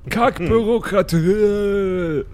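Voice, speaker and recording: A man voicing a grumbling undead man in an improvised role-playing audio play, drawling and halting as he goes. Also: high voice, darkish tone. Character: slow, grumbling undead man fed up with bureaucracy.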